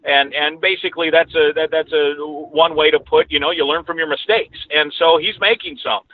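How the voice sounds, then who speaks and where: A man's voice: on the high side, fairly even intonation, normal speed. A male sports broadcaster giving conversational analysis as a guest over a remote call, rephrasing as he goes. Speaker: radio play-by-play announcer as interview guest. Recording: thin, narrowband phone-like line.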